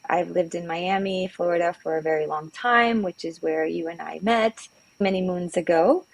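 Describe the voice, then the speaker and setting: A middle-aged woman from California, a behavior analyst guest on a podcast, explaining ideas in a calm, measured way. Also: on the low side, gravelly, poor audio. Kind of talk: casual interview, explaining.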